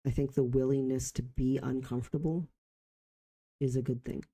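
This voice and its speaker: A man around forty speaks in a very mellow, dark voice with plenty of fry. His intonation stays fairly flat. He talks in short, reflective phrases with pauses, as in a personal vlog. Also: medium pitch. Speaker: vlogger.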